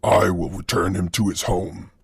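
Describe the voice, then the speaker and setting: Very husky, deep dark creature. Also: male voice, normal speed, no echo, clean studio sound, exaggerated voice. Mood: solemn, ominous. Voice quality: raspy, gravelly. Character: mysterious dark male creature, solemn lich-like guardian.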